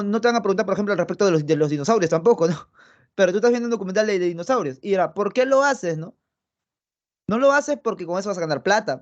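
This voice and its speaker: Young male tutor, high voice, chatty online lecture, lo-fi computer mic. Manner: chatty, tags phrases with "¿no?" and "¿ya?"; talks directly to students, affectionate asides. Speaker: philosophy teacher. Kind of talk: online pre-university lecture. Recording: muffled lo-fi audio.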